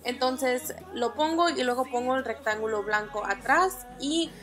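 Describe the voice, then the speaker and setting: Woman, young adult, English native speaker, upbeat chatty vlogger, fillers and restarts, higher voice. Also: measured pace, a bit bright, soft background music. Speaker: beauty vlogger. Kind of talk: screen-recorded tutorial voice-over.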